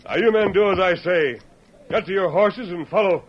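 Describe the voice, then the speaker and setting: An actor playing a heroic, authoritative cowboy in an old radio western, with a firm and decisive delivery. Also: male voice, high voice, normal speed, close to an everyday voice. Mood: commanding. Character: heroic, commanding masked cowboy lawman of the West. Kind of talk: 1940s radio western acting. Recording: muffled, hissy old radio recording.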